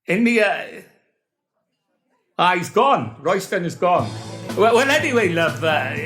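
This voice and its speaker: A blustering Welsh stage medium hosts a comic seance, played by a man. His voice sits high and swoops all over the place as he coaxes the crowd with chatty showman patter. Faint music hums underneath. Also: big pitch swings, a little slow, a bit bright, exaggerated voice. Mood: bluffing. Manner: chatty, bluffing showman addressing the audience. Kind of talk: comedy sketch monologue.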